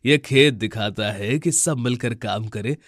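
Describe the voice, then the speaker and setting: Man acting wise male animal, cartoon, measured, approving, medium pitch, dry sound. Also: no echo, lightly acted.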